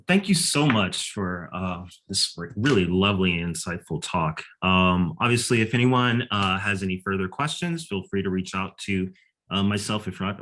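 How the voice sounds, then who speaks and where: A man moderating a webinar, reading an audience question and thanking the speakers, with relaxed phrasing and frequent fillers. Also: low voice. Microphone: video call.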